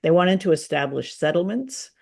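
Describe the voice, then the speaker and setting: Podcast co-host, medium pitch, unscripted and conversational, hesitant phrasing, gently guiding the talk. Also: very animated, normal speed, creaky. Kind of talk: casual unscripted discussion.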